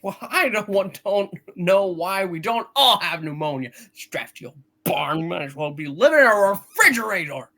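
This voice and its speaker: A frustrated, weary family dad in a comic radio play, put on by a man. He has a bright, forceful voice with lively pitch and an exasperated, hammy delivery. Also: moderate pace, few pauses, heavily acted. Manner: hammed-up, exasperated, stumbling reading. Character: frustrated family father, sharp-tempered, weary, loving. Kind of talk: live comedic radio play reading.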